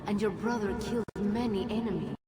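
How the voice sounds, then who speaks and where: A woman playing an ancient, wise water spirit who guides the hero in a video game, solemn and measured, over loud music. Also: mid-range voice, natural voice. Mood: grave counsel. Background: loud game score.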